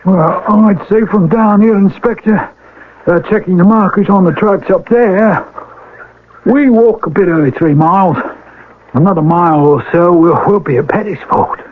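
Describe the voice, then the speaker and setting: An actor playing a respectful, helpful railwayman in a radio mystery drama, hesitant and folksy, with a husky voice. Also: sits high, moderate pace, a little hiss or hum, close to an everyday voice. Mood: eager, helpful. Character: deferential, helpful working railwayman, eager to oblige. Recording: lo-fi old radio broadcast recording.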